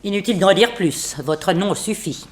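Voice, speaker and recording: An actress playing a composed, proper housekeeper of seventy in a French radio drama, matter-of-fact and formal. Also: female voice, medium pitch, normal speed, noisy background, natural voice. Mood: composed, informative. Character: composed seventy-year-old female housekeeper, frank and proper.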